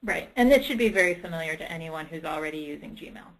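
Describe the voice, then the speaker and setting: Woman with a slightly low voice, conversational. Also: lively intonation, quick pace, a bit bright, poor audio. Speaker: training participant adding clarifications. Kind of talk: conversational training discussion.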